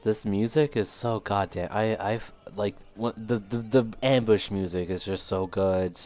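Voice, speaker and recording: A man's voice: lowish voice, fairly even intonation, measured pace, a little mellow. A man streaming a game, chatting casually and thinking aloud while losing his voice. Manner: rambling, thinking aloud, many fillers. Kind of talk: casual live game commentary.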